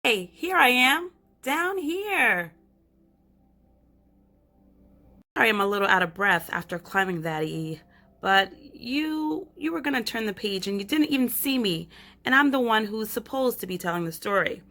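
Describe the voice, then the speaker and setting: A woman's voice: on the high side, sing-song, moderate pace, sharp tone, exaggerated voice. Woman doing a chatty shrunken girl narrator, storybook read-aloud, slightly worn voice. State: losing her voice. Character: chatty young girl who has shrunk.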